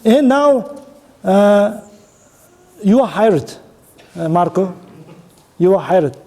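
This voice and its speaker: A man with an Uzbek accent speaking halting, choppy English as he runs an informal interactive talk with an audience. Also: sits high, expressive, darkish tone. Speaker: conference speaker running an audience networking game.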